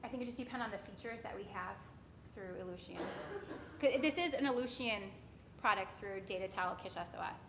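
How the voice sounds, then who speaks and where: A woman presenting to staff and taking questions in a large auditorium, in a brisk, upbeat way. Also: medium pitch. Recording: roomy hall with loud background noise.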